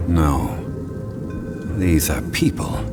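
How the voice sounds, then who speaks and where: Very deep, learned gentleman. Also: music in the background, full character voice. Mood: calm, authoritative. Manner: formal, measured, explanatory. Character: composed, learned male consultant, formal and measured. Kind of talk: video game voice acting.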